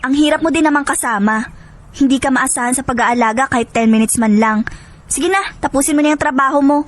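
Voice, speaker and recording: High-voiced, whiny young mother played by an actress. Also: brisk, natural voice. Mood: resentful, sarcastic. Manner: whiny, reproachful, sarcastic. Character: exhausted, resentful young mother nagging her husband. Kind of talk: Filipino radio drama acting. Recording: noisy background with room ambience.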